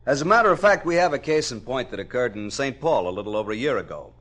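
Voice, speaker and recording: Authoritative male American professor, vintage radio drama, mid-pitched, fast, emphatic delivery. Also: big pitch swings, a bit bright. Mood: assured. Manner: brisk, emphatic, certain. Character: authoritative, self-assured male psychology professor. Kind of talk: 1950s radio drama acting.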